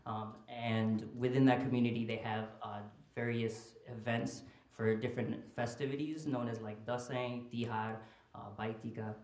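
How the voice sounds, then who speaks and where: A man's voice: mid-range voice, a little mellow. A young man from Nepal who grew up in the United States, giving an informal presentation in a hesitant, explanatory way. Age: young adult. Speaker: student reporting fellow giving a presentation. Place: indoor conference room with small audience. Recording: roomy, echoing presentation room.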